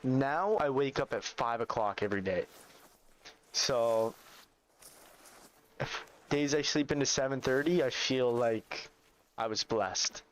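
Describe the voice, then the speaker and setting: A man's voice: mid-range voice, slow pace, pauses often, a little hiss or hum. A man, chatting casually with his livestream chat while playing a hunting game. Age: 20s. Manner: laid-back, chatty, reads and answers chat. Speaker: streamer.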